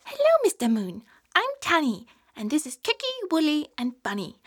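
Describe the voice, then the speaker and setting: A woman's voice: high-pitched, huge pitch swings, normal speed, pitched above the performer's own voice. A woman voicing a small, polite, sleepless male polar bear cub in a bedtime story, eager in manner.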